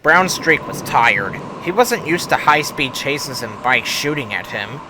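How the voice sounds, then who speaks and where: A man reading a scripted children's story as narrator, expressive, with deadpan understatement. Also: mid-range voice, a little slow, rough recording. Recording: music under the voice. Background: music.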